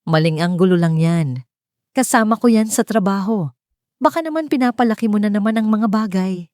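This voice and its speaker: A woman puts on a cold, dismissive husband in a drama story. The delivery is curt, sarcastic and irritated, in a mellow, dry-recorded voice. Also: medium pitch, moderate pace, no echo, pitched above the performer's own voice, close to an everyday voice. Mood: evasive.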